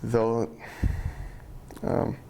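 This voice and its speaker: A young man giving a prepared speech to friends and family, speaking slowly and deliberately in a controlled way. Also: mellow, a little hiss or hum. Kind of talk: prepared speech read to an audience. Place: room with a small audience of friends and family.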